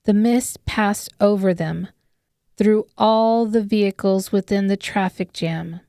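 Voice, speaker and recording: A woman's voice: mid-range voice, takes it slowly, mellow, dry recording, clean studio sound. A woman reading scripted, dramatic audiobook narration of a story.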